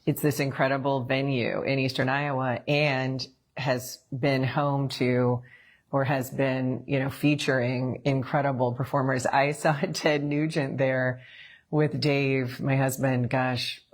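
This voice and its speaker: Midwest lady in her 40s, really low voice, chatty morning show cohost, laughs a lot. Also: little pitch movement, unhurried. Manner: chatty, laughs easily, promotes events. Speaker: co-host. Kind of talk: casual morning show chat.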